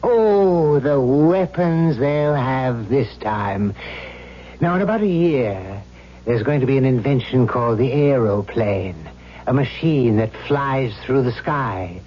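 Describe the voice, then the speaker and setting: An actor playing an irritable, self-satisfied Satan in a vintage radio drama, with theatrical, unhurried delivery. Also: male voice, medium pitch, expressive. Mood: boastful relish. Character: Satan, weary, irritable, boastful Prince of Darkness. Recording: muffled old radio recording with hiss and hum.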